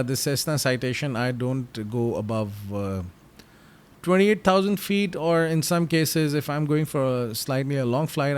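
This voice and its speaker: A man whose first language is Urdu talks in a relaxed, chatty way, pausing often with ums and uhs. His voice sits at an ordinary middle pitch, recorded on a desk mic at home. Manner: halting, many ums and uhs, friendly chatty. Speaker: flight sim YouTuber. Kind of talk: casual narrated gameplay commentary.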